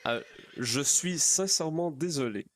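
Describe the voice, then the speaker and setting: A man's voice: on the high side, lively intonation, a little slow, slight background noise, pitched above the performer's own voice. A man playing an earnest, slightly naive young-hearted pastor in a western video game roleplay, speaking in polite, formal phrases. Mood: apologetic.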